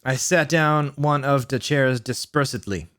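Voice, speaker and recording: A man's voice: mid-range voice, measured pace, fairly bright tone. Male host for comic read-aloud: mid-range voice, exasperated, stumbling, mentally drained. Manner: stumbles over misspelled words, breaks off into exasperated asides. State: mentally worn out from long reading. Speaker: YouTube host reading fan fiction aloud. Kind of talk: comedic dramatic read-aloud with asides to viewers.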